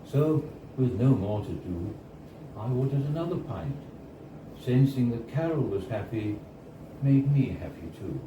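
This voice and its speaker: A man's voice: mid-range voice, animated, unhurried. Man reading a story in a roomy, noisy venue. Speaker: reader of a short story. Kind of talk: live literary reading of a first-person story. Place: indoors at a bar, live reading. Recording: roomy, distant, noisy live bar recording. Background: clear background noise and room ambience.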